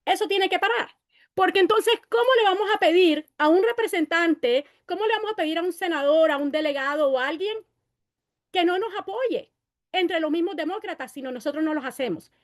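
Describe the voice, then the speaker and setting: Woman, fifties, very high voice, candidate speech, rallying, repeats phrases. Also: expressive, fast. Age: 50s. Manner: switches between Spanish and English. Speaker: city council candidate. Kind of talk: campaign speech at a meeting.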